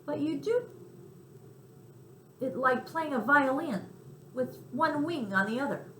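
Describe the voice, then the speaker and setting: A woman voicing a brash, friendly, streetwise male mouse in a storybook, talkative and a little squeaky. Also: animated, slow pace, a bit bright, full character voice. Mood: friendly, chatty. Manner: talkative, scornful of snobs, city slang. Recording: roomy echo, faint background noise.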